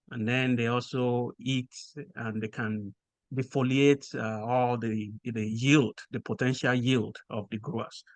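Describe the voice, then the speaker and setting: A man from Nigeria with a Nigerian accent, explaining things in a measured way with frequent pauses as a podcast guest. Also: mid-range voice, no room sound. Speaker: guest researcher. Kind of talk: podcast interview.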